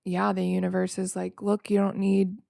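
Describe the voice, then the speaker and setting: A woman hosting a podcast alone in a casual, freestyle way, slightly nasal, reflective and rambling, often halting and repeating words. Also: medium pitch, completely flat delivery, vocal fry, mellow, dry recording, clean studio sound. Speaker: podcast host.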